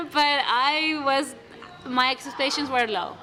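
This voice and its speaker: A woman's voice: high voice, slow, soft background music. Earnest young woman in a podcast interview. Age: 20s. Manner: earnest, animated when quoting others, many restarts. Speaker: playwright guest.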